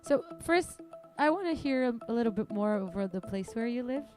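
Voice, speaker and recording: Woman event caller, slightly high voice, chatty and upbeat, light music behind. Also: lively intonation, fairly quick. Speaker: event commentator and interviewer. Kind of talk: live sports commentary and interviews. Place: outdoor beach event.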